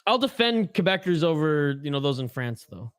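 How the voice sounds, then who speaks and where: A man's voice: on the high side, very animated, normal speed, smooth, no room sound, studio quality. A young Canadian man hosting a live hockey watch-along, chatting casually, reading viewer comments aloud and swearing freely. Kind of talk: casual live-stream chat.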